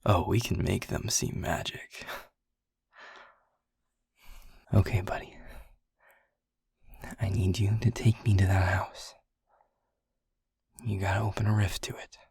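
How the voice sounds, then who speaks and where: A man playing a gruff, protective friend in an audio roleplay, speaking in a husky, breathy low voice with slow, deliberate phrasing. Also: level tone, darkish tone, dry recording, in a whisper, clean studio sound, heavily acted. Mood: resolved.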